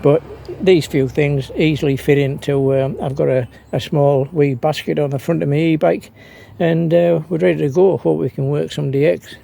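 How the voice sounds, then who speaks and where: A man's voice: on the high side, fairly even intonation, moderate pace, mellow. A man giving a casual, unscripted demonstration in an understated, hesitant way, often trailing off and picking the thread up again. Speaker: amateur radio operator, vlogger. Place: outdoors, partly on a breezy beach. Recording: clearly noisy outdoor ambience.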